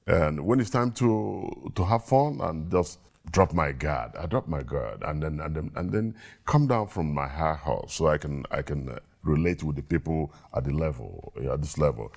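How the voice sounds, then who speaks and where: A man with a very deep, gravelly voice talks in a casual interview. He sounds earnest and often circles back over his words while he thinks. A husky edge runs through his speech. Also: lively intonation, moderate pace, darkish tone. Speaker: guest.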